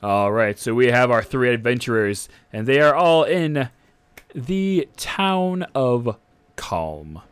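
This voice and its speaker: Young male DM, unhurried and casual, reads lore in a close, dry booth sound. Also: medium pitch, sing-song, clean tone, no room sound. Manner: unhurried, casual with frequent "uh" and "all right". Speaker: dungeon master. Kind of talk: game master reading a setting synopsis to a live stream.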